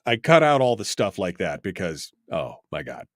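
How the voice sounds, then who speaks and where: Animated male talk radio host, dry studio sound. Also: low-pitched, moderate pace, no room sound. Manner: emphatic, agitated, self-mocking rambling. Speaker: radio call-in show host. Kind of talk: freeform talk radio commentary. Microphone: close studio microphone.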